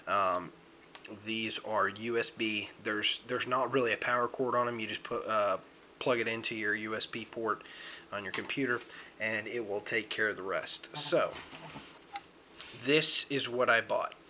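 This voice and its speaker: Low-voiced man, dull lo-fi recording. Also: steady tone, a little slow. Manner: hesitant, rambling explanation. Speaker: DIY tutorial presenter. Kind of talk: unscripted how-to demonstration. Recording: dull lo-fi home video, background noise.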